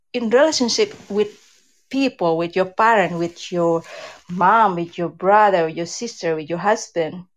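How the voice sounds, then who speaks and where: A woman's voice: lowish voice, poor audio. A woman in her fifties from Indonesia with an Indonesian accent, chatting candidly as an interview guest, her English loose in grammar. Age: 50s. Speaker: guest, relationship advice creator. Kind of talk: casual interview chat.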